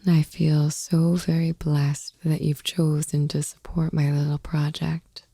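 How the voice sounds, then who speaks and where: A woman reading poetry as a sleep ASMR podcast host in a soft, breathy whisper, slow and soothing, close to the microphone. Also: sits low, flat delivery, no echo.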